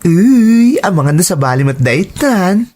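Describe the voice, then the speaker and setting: A woman's voice: mid-range voice, big pitch swings, unhurried, mellow. A woman with an Ilocano Filipino accent playing a nosy, teasing female friend in a drama. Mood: suspicious, needling. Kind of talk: Ilocano radio-style drama acting.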